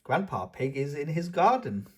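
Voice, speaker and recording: A man's voice: fairly high pitch, big pitch swings, normal speed, a little hiss or hum. A man hosting a children's read-aloud, narrating a storybook in an enthusiastic manner. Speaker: children's book reader and channel host.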